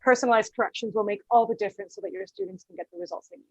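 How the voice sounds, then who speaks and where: A woman's voice: on the high side, very animated, rapid-fire, vocal fry, no room sound. A woman with a Vancouver Canadian accent talks casually as a podcast guest, explaining step by step with plenty of "um" and "like". Her audio sounds a bit dull and lo-fi. It is also very dry, with no room sound. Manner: conversational, explains step by step with fillers. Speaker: guest, English teacher.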